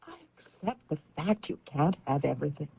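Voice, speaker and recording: A woman's voice: sits low, expressive, fairly quick, gravelly, husky, no room sound, highs cut off, heavily acted. A woman acting in an old-time radio drama as an insistent wife convinced that her husband is alive, earnest and emphatic under strain. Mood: stubborn, emotional.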